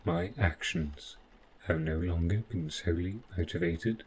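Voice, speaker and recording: A man playing a devoted, possessive robot butler in an audio roleplay, speaking in a formal, measured, precise way with halting phrases. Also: low-pitched, a little hiss or hum. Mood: clinical, insistent. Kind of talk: scripted roleplay audio drama monologue.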